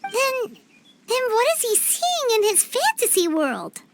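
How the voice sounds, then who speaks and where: A woman voicing a tiny, excitable, childlike fairy companion in a video game, with a dramatic manner. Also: extremely high pitch, slow pace, very noisy, heavily acted. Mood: curious, worried. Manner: dramatic, refers to herself in third person.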